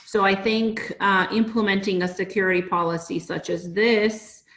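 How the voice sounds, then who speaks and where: A woman's voice: mid-range voice, fairly even intonation, moderate pace, fairly bright tone. A woman explaining points conversationally in a panel discussion, often checking with a tag question. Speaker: consultant discussing a standard. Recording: roomy, echoing sound.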